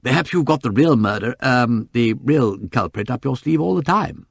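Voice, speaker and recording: A man voicing a kindly colonel's wife who speaks gently and reassuringly. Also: medium pitch, expressive, moderate pace, gravelly, dry recording, clean studio sound, pitched above the performer's own voice.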